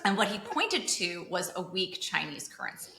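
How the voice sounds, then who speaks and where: A woman's voice: lowish voice, sing-song, normal speed, bright, forceful tone, roomy sound, a little hiss or hum. A woman in her thirties, hosting a podcast and explaining finance in a chatty way, full of asides and "like". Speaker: podcast host. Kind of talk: casual explanatory chat.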